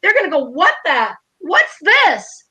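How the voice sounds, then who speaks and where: A high-voiced woman in her fifties with a Minnesota accent, bright and forceful as she talks straight to her viewers. She is blunt and excitable, hosting a casual online chat. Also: a little slow. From Minnesota, USA. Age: 50s. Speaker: host.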